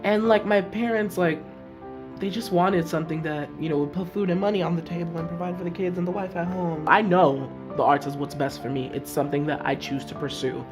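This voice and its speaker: A sixteen-year-old student from Nigeria, chatting casually in a documentary interview. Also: male voice, a little high, steady tone, fairly quick. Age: teens. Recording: music underneath.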